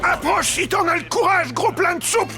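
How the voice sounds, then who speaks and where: A man's voice: very high-pitched, moderate pace, loud music behind the voice. A man voicing a combative old grandfather in a French cartoon, defiant and insulting.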